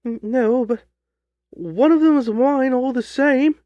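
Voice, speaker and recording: A man's voice: extremely high pitch, slow, warm tone, dry recording, lo-fi sound, pitched above the performer's own voice. A man voicing a timid, rat-faced little man who whines and cringes, in a storybook reading. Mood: whining, nervous. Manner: whining, hesitant, timid.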